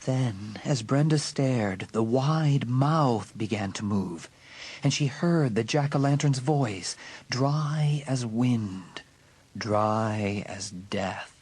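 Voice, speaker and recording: A man's voice: medium pitch, darkish tone, breathy edge, no echo. Suspenseful male horror audiobook narrator. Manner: suspenseful, deliberate emphasis. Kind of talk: dramatic scripted horror narration.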